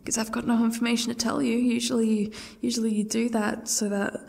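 Husky, creaky-voiced defiant captive woman, acted by a woman. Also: fairly high pitch, little pitch movement, darkish tone. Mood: uneasy, halting. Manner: halting, sarcastic, often dropping to whispers. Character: defiant, sardonic captive woman facing her ex's torture. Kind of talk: audio roleplay, one-sided dialogue.

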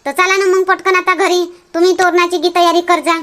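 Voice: very high voice, level tone, brisk, fairly bright tone. A performer voicing a busy, nagging village housewife and mother in a cartoon comedy, with a rural Vidarbha Marathi accent. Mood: earnest, chatty.

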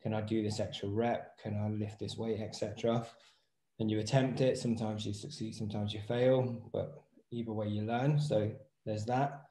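Low, flat-toned man in an echoey room. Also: moderate pace. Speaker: interview guest, personal trainer and movement therapist. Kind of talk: casual interview talk. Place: small indoor room at home, video call. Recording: roomy echo on voice.

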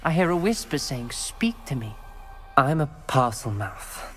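A man's voice: mid-range voice, very animated, unhurried, fairly bright tone, soft background music. A man playing a troubled, principled teenage schoolboy wizard in a video game, speaking with a British accent in a formal, earnest way. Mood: reluctant. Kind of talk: dramatic video game voice acting.

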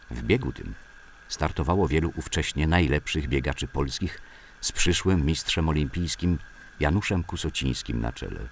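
A man narrates written recollections. His voice is extremely deep and gravelly, with lively rises and falls in intonation. There is slight ambient noise behind him. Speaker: narrator reading memoirs. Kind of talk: scripted documentary narration of sports-club recollections.

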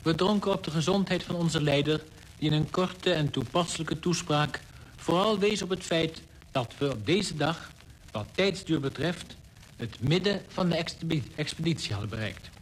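An actor playing a polar expedition member, giving a literary account in a radio play. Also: male voice, a little high, normal speed, natural voice. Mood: literary narration. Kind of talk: scripted radio drama narration. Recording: noisy old radio play recording.

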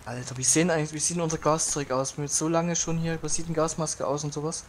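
A man's voice: fairly high pitch, little pitch movement, fast talker, slight background noise. A man casually chatting while playing a game, explaining each step as he goes. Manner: easygoing, explains game steps. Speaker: let's play co-player. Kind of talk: casual gaming chat.

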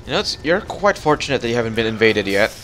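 A man talks over a co-op game at a mid pitch and gives his partner directions, stopping to restart and fill gaps with "um" and "let's see." A faint hiss sits under the voice chat. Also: sing-song, fast talker, a bit bright, low-quality recording. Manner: guiding and giving directions, with fillers and restarts. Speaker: gaming channel host. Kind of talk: casual co-op gameplay chat.

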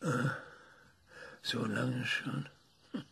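A man's voice: mid-range voice, steady tone, very slow, vocal fry, pushed and bright, slight background noise, full character voice. An actor in a radio play, playing an eccentric, cranky older man being interviewed, who hesitates often and lectures. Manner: hesitant, many ums, lecturing.

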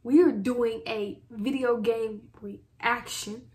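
A woman's voice: on the high side, steady tone, slow, darkish tone. A young American woman co-hosting a reaction video in casual, slangy chat. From United States. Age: young adult. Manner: slangy, informal. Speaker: co-host of a reaction channel. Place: small indoor room with plain wall.

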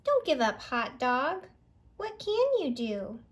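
A woman in her thirties hosting a children's storytime, reading a picture book expressively and deliberately. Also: high-pitched, big pitch swings. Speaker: library storytime host.

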